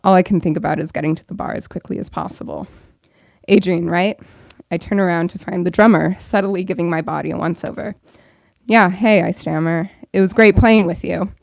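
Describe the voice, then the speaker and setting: Low-voiced woman reading her own story. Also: vocal fry, a little mellow, no room sound, clean studio sound. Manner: friendly welcome, then measured reading. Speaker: bookstore events director. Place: bookstore event room with audience.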